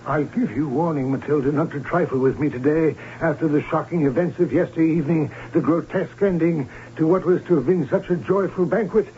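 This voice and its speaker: An actor playing an imperious, nervy middle-aged baron in an old radio drama, formal, pompous and theatrical. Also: male voice, fairly high pitch, steady tone, a little fast, hardly any pauses, close to an everyday voice. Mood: impatient. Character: imperious, nervy baron, father of a grown daughter. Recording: dull vintage radio recording, faint noise.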